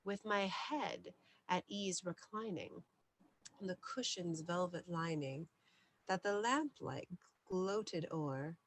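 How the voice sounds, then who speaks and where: A woman storyteller giving a deliberate, theatrical bedtime reading of gothic poetry, sometimes hushed. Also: fairly low pitch, a little hiss or hum.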